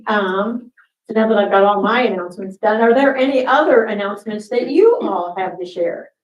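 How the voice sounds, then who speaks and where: A woman's voice: medium pitch, moderate pace, highs cut off. A woman pastor, giving casual announcements and opening worship in a church sanctuary. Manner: conversational, relaxed with fillers. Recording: roomy church sanctuary from a distance.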